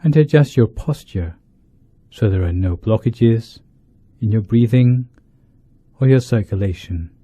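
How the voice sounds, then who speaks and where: A man, a monk leading a guided meditation, speaking in deliberate short phrases with pauses between them. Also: on the low side, expressive, dark tone, slightly rough. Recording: dull lo-fi, roomy echo.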